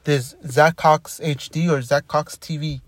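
A man's voice: fairly high pitch, steady tone, measured pace, no echo. A man talking casually in a rambling monologue, restarting and repeating his words often. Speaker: commentator.

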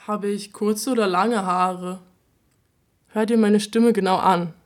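A woman's voice: medium pitch, fairly even intonation, slow. A woman in a radio play speaking directly to the listener and asking a string of questions that invite them to imagine her. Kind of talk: scripted address to the listener.

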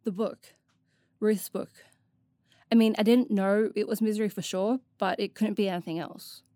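A woman's voice: mid-range voice, fairly even intonation, normal speed, dark tone, dry recording, studio quality, natural voice. A woman playing an anxious but determined, self-doubting young adventurer in an audio drama, speaking in a hesitant, plain way. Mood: quiet relief.

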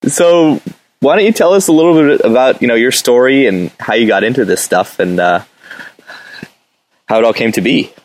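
A man's voice: mid-range voice, moderate pace, low-quality recording. A man hosting a podcast, introducing his guest in an upbeat, informal way. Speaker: podcast host. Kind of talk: casual interview talk.

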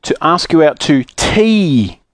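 Guy playing a polite but exasperated boy in a kids book, bit higher voice, very emphatic, dry recording. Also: big pitch swings, slow, no room sound. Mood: patient, insistent. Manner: insistent, emphatic. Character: polite, patient boy growing exasperated with muddled old man. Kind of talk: children's storybook character voice.

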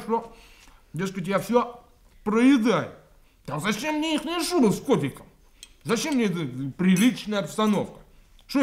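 Young henpecked policeman, slightly high voice, good-hearted, complaining, halting and repetitive, radio drama. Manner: plaintive, halting, repeating himself.

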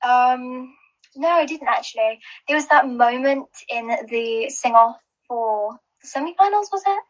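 A woman's voice: high voice, unhurried. Rambling, giggly woman on a muffled call. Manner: rambling, restarts often, giggly. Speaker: competition finalist guest. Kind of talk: casual chat.

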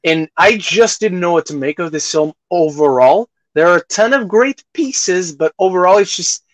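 A man with a slightly high voice talks casually as a podcast host giving reviews. He often repeats and restarts phrases, slipping into quoted reactions as he goes. The sound is close and dry. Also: animated, no echo. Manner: halting, repeats phrases, acts out imagined reactions.

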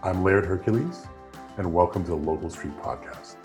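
A man's voice: low-pitched, moderate pace, music in the background. A man hosting a podcast, reading a scripted opening, then asking upbeat questions full of fillers. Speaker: podcast host. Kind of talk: scripted intro then casual interview.

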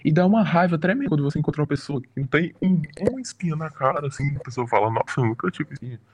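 A young man, a podcast guest chatting casually, easygoing and quick to laugh along. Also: a little high, slightly rough. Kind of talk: casual chat. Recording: faulty mic, thin sound.

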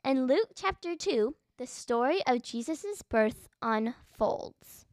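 High-voiced young-sounding female podcast co-host. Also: lively intonation, slow, very noisy. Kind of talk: scripted children's podcast chat and narration.

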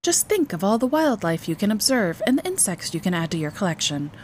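A woman acts out an enthusiastic storybook mother with a lifted, higher pitch. She gushes and coaxes dreamily, with faint noise behind her. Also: very animated, brisk, a little mellow, lightly acted. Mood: dreamy enthusiasm. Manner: gushing, coaxing. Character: enthusiastic mother, brightly persuasive, dreamy, easily exasperated. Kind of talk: storybook character voice.

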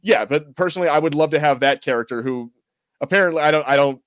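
A man's voice: a little high, fast talker, a bit bright, no room sound, studio quality. A man hosting a podcast, chatting casually about fandom in an enthusiastic, rambling, jokey way. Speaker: podcast host. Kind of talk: casual fan discussion.

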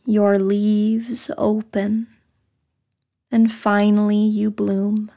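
A woman guides a meditation very slowly, in short phrases with long pauses between them. Her voice is soft and breathy with whispery edges. The delivery stays soothing and flat, at a medium pitch. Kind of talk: guided meditation, scripted narration.

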